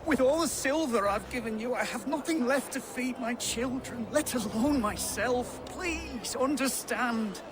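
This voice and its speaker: An actor playing a desperate, impoverished merchant pleading in a video game, dragging out his words. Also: extremely high pitch. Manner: pleading, drawn-out. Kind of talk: dramatic video game acting. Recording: noisy outdoor ambience behind the voice.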